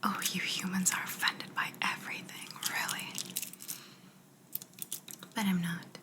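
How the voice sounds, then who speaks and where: A woman playing a soothing, softly bossy alien abductor in a hushed, breathy ASMR whisper roleplay. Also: on the low side, little pitch movement, natural voice. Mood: soothing, faintly menacing. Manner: slow, soothing, hushed, matter-of-fact.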